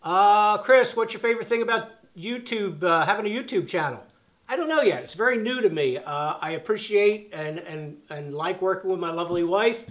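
High-voiced man in his fifties, halting. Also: lively intonation, a bit bright. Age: 50s. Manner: halting, reads questions aloud, many fillers and restarts. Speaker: channel host answering fan questions. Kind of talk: casual chat. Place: living room by a fireplace, sitting on the floor.

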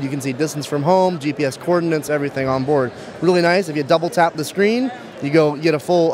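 A man in his thirties demonstrating a product in an interview, speaking into a handheld microphone at a trade show. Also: a little high. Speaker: brand manager demonstrating a product. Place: busy trade show floor.

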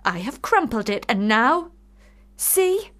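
A woman's voice: on the high side, big pitch swings, takes it slowly, a bit bright, exaggerated voice. An actress playing a passionate, bitter, lovelorn young woman in a radio play, with intense, literary, theatrical delivery. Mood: wounded, intense.

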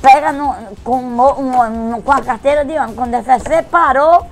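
A middle-aged woman with a high voice riffs on the news at a studio desk. She teases her co-host and stumbles over words when she gets worked up, with faint music under her. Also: moderate pace. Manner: animated, teasing, stammers when excited. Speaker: comedy news show co-host. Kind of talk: comic improvised news commentary. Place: small TV studio set. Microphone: desk microphone.

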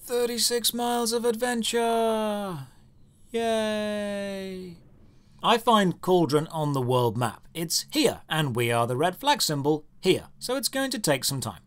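Animated, high-pitched sarcastic male game reviewer. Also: slow pace. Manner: sarcastic, theatrically exasperated. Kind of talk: scripted gameplay review narration.

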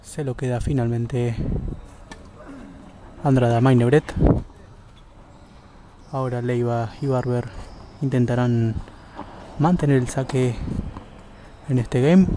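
An Argentine man giving measured live tennis commentary from an outdoor court, pausing between plays. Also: mid-range voice, steady tone. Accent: Argentine Spanish. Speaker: amateur tennis match commentator. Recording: noisy amateur camera audio, clicks.

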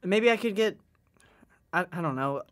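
A man playing an earnest, considerate boy in an audio drama, speaking haltingly with folksy grammar. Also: sits high, expressive. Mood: anxious, earnest.

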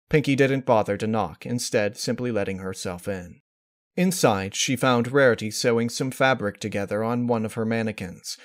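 A man reading a fan-fiction story aloud as scripted narration. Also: mid-range voice.

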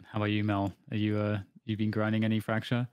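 A man hosts a video call interview, firing off rambling questions at a quick clip. The sound is dry and close, with no room around it. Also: fairly low pitch, monotone, a little mellow, clean tone, dry recording, muffled recording. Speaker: interview host.

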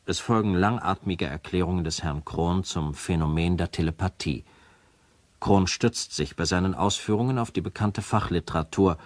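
A man's voice: deep voice, normal speed, no echo, lightly acted. An actor playing a sober narrator in a radio play, a man reporting formally and matter-of-factly as in a documentary. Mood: sober, factual. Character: sober male narrator presenting a recorded conversation as evidence.

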